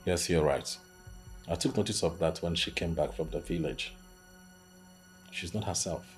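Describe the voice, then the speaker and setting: An actor playing a calm, concerned husband in a film drama. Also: male voice, deep voice, normal speed, faint music behind, close to an everyday voice.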